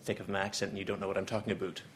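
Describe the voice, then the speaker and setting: A man's voice: deep voice, flat delivery, very fast, a little hiss or hum. A young Canadian man giving a seminar lecture, full of ums and uhs. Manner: hesitant, many ums and uhs. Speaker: guest lecturer. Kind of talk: academic seminar talk.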